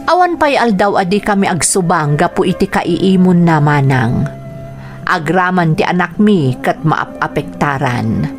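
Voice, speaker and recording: A woman's voice: lowish voice, expressive, a little slow, over music. An actress with an Ilocano accent playing a hurt, weary young wife and mother in a radio drama. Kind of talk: Filipino radio drama acting.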